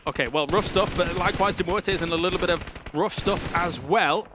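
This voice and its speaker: A man casting a live esports match with excitable, playful play-by-play. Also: high voice, background noise. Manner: excitable, quick reactions, playful banter. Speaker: esports caster.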